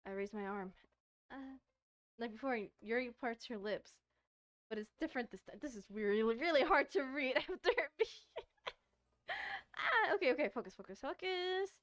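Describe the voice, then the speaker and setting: A woman's voice: fairly high pitch, dry recording. A woman doing casual, playful let's-play commentary on a visual novel, reading the on-screen text aloud between her own asides. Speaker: streamer playing a visual novel.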